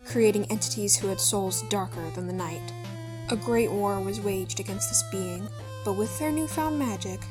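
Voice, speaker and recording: A woman giving a solemn, measured narration of a fantasy tale. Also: mid-range voice. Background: music.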